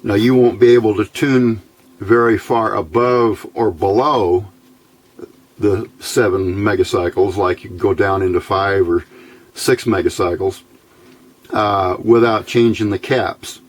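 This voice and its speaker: A man giving a casual hands-on electronics tutorial, halting and thinking aloud as he goes. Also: fairly low pitch, steady tone. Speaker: electronics hobbyist video host.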